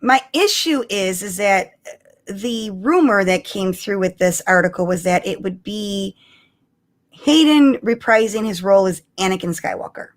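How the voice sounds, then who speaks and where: A woman co-hosting a casual live-streamed chat about fandom news, talking in an animated, chatty way with exclamations. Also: medium pitch, a little slow. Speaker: podcast co-host.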